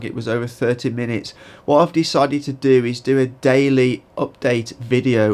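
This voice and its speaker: A man from the United Kingdom giving a daily news update, with a croaky voice and a blocked nose, hesitating often and checking his notes. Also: mid-range voice. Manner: hesitant, many fillers and restarts, reading from notes. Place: home in southern China.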